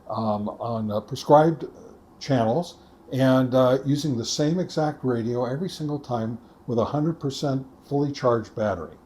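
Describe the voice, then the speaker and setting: A man narrating a casual product test as a review host, talking step by step in a halting way. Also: medium pitch, warm tone, slightly rough. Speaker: host of a ham radio gear review channel.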